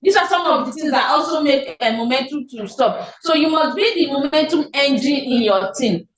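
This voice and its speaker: A woman's voice: high voice, expressive, normal speed. A woman with a Nigerian accent leading an online training, repeating points and answering her own questions. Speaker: team leader. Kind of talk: motivational business training. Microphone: online meeting call. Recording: echoey room on a call.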